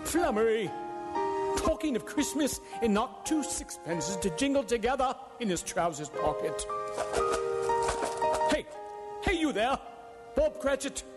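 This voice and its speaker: A man's voice: very high voice, sing-song, measured pace, long pauses, loud music behind the voice, heavily acted. An actor playing a miserly, bitter old businessman in a live radio drama, grumbling and sneering with emphatic scolding. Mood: scolding, angry. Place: performing arts center stage. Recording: echoey theater hall, live radio broadcast.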